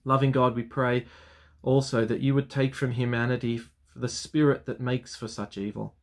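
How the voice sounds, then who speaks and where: A man's voice: mid-range voice, little pitch movement. A man in his thirties, a clergyman, talking to viewers on his weekly vlog and leading a solemn spoken prayer from his study. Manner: earnest, solemn, measured prayer cadence. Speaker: Anglican clergyman. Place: study with bookshelves, indoors. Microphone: webcam framing at desk.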